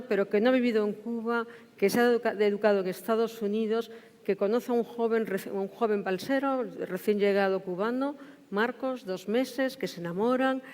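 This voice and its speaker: A woman's voice: mid-range voice, darkish tone. Woman presenting in a reverberant hall. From Spain. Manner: repeats and corrects herself. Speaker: presenter of a book event. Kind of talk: conversational literary presentation. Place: large theatre, indoors.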